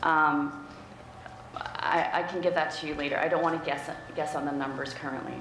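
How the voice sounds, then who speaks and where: Raspy woman briefing a board, echoey room. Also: low-pitched, fairly even intonation, moderate pace, a bit bright. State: coming down with a cold, throat-clearing. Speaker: staff presenter at a public board meeting. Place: indoor board meeting room. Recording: echoey meeting room with clear background noise.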